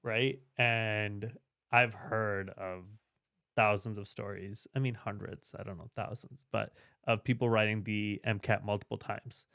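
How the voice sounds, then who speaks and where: A man's voice: on the low side, fairly even intonation, measured pace, vocal fry, bright, forceful tone, dry recording, studio quality. A man hosting a podcast in casual chat, who keeps tagging his points with a questioning "right?". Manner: chatty, tags lines with "right?". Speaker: podcast host. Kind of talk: casual interview talk.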